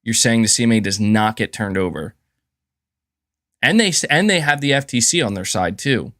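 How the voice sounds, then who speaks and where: A man's voice: mid-range voice, animated, normal speed, fairly bright tone. Chatty male streamer. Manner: chatty, chuckles often, says "dude" and "man". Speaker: livestream host. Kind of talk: casual livestream commentary.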